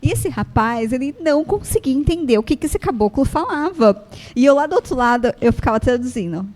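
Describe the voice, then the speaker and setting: A Brazilian woman with a fairly high voice tells anecdotes in a casual chat. She is self-mocking and laughs at her own blunders as she goes. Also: lively intonation, brisk, a little mellow. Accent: Brazilian Portuguese. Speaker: guest, shop owner.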